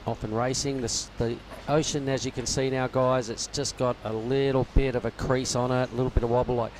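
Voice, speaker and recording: A man's voice: mid-range voice, flat delivery, a little fast, a little mellow, slight background noise, poor audio. A man leading live surf commentary, upbeat and chatty, calling the heat and steering the conversation. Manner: upbeat, leads the talk, says mate. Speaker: lead commentator.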